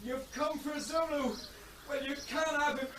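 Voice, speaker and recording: A frantic armed recluse, yells in a strained, very high voice from far across an outdoor scene. The sound is distant and swamped by open-air noise and birdsong. It is a radio-drama shout of defiance. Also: male voice, fairly even intonation, measured pace. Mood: defiant. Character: distraught armed recluse shouting from his cottage. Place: outdoors, woodland cottage scene.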